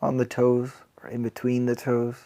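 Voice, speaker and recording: A man with a mid-pitched voice leads a guided meditation. His delivery is very even and barely moves in pitch. He speaks gently and calmly, leaving long, unhurried pauses between phrases. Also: gravelly. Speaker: mindfulness teacher. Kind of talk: guided body scan meditation.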